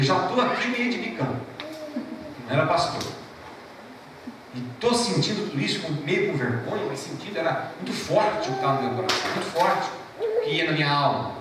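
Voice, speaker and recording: A man with a Brazilian Portuguese accent, a pastor preaching a sermon emphatically, repeating key phrases slowly and telling a story with animated rises and drops. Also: sits high, background noise. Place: church hall. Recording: echoey church from far off.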